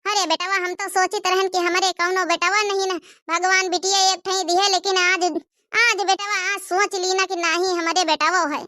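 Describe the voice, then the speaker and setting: Woman as a squeaky, humble Awadhi grandmother. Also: level tone, normal speed, sharp tone, no room sound, clean studio sound. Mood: humble, heartfelt. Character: humble, poor grandmother, affectionate and self-respecting mother-in-law. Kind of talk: cartoon comedy dialogue.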